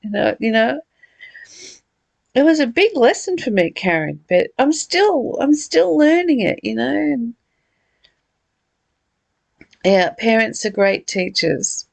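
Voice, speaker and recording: A woman's voice: on the high side, sing-song, a little slow, creaky, a little mellow, dry recording. A middle-aged woman talking reflectively and personally in a conversational study discussion. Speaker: study group leader.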